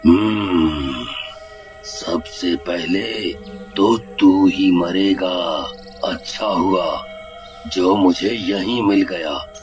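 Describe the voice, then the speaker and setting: A vengeful cartoon demon, voiced by a man, low and very slow, drawing out each threat. Loud music plays under the menacing voice. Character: vengeful male demon ghost of a wronged villager.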